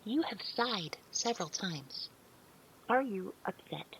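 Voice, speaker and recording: A woman's voice: mid-range voice, lively intonation, takes it slowly, noisy background. A woman playing a polite, childlike artificial intelligence in an audio drama, speaking earnestly with precise, formal, literal wording. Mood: innocent, concerned.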